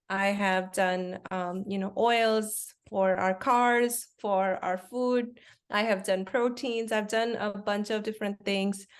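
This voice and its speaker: A woman's voice: mid-range voice, smooth. Chatty woman founder from India. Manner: explains things simply with many fillers and restarts. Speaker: founder of a food company. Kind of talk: conversational interview.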